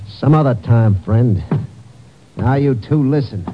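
An actor playing a menacing armed gang leader who snaps out curt orders and threats in a 1950s radio crime drama. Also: male voice, mid-range voice, takes it slowly, over music, natural voice. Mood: menacing, controlled. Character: menacing, curt male armed gangster in charge. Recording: scratchy lo-fi old radio broadcast.

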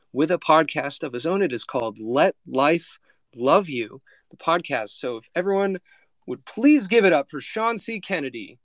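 A man's voice: fairly high pitch, fairly bright tone, no echo. A man hosting a podcast interview, friendly and effusive, speaking over a video call. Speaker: podcast host. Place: Los Angeles.

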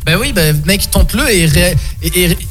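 Young man, casual radio chat, surprised reactions, somewhat high voice. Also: expressive, moderate pace, music in the background. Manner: reactive, surprised, lots of interjections. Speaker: co-host.

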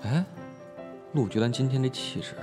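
A man's voice: medium pitch, moderate pace, music in the background, close to an everyday voice. A male actor playing a brash, foul-mouthed, teasing teenage schoolboy in an audio drama, in slangy exclamations. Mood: astonished, teasing. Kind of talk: Chinese audio drama acting.

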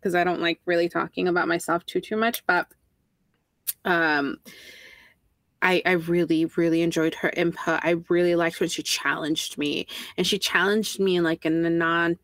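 A young woman with a Texan accent hosting a casual podcast chat, self-mocking and quick to laugh. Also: on the low side, steady tone, a bit bright, dry recording. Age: young adult. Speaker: podcast host.

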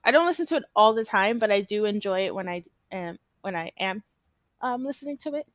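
A woman's voice: medium pitch, lively intonation, a little fast, dry recording. A young woman hosting her own podcast, rambling and hesitant in a casual solo chat, a little stuffy from allergies. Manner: rambling and hesitant, many fillers and restarts. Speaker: podcast host.

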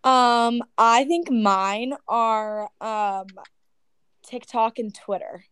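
Guest, woman, early 20s, casual rambling chat, smooth clean voice, dry room. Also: on the high side, drawn-out words, no room sound. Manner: relaxed, uses "like" a lot. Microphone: remote call.

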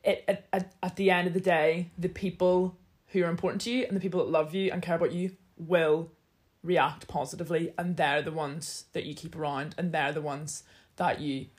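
A young woman chats casually as a co-host. Her voice sits slightly deep, and she talks hesitantly, circling and restarting as she works out what she means. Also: steady tone, normal speed. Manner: hesitant, restarts often, thinking aloud. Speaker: podcast co-host. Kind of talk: casual chat.

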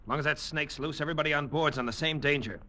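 An actor playing a brisk, plain-spoken, folksy ship's officer in an old-time radio drama. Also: male voice, on the high side, pushed and bright. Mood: grim. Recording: old radio recording, heavy background noise.

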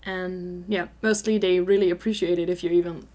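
A woman's voice: fairly low pitch, fairly even intonation, fairly quick, dark tone. A young woman, a student panelist, chatting casually about her own experiences. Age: young adult. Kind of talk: casual chat.

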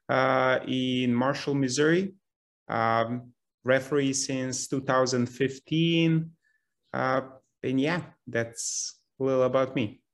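Guy, mid voice, quick polite intro. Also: medium pitch. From Serbia. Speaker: university teacher, referee guest.

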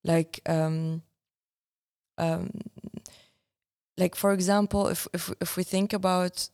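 A young woman whose voice sits a little low. She talks casually as a guest filmmaker, restarting sentences and hunting for the right word as she goes. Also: fairly even intonation, takes it slowly, a little mellow. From Syria. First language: Arabic. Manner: searching for words, many restarts. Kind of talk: casual conversation.

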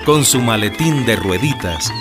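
A man narrates a radio drama. His mid-range voice moves in lively, dramatic contours with deliberate phrasing, over clearly audible music. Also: mid-range voice.

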